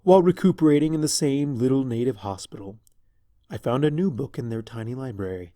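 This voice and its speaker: A lovestruck minion of a villain tells his own tale, read by a man. The voice is soft-edged and dark, recorded very dry. The delivery is dramatic and deliberate, like a narrated adventure romance. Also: medium pitch, lively intonation, no room sound, natural voice. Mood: admiring, dramatic. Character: lovestruck villain's pony henchman recounting his past. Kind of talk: first-person scripted story narration.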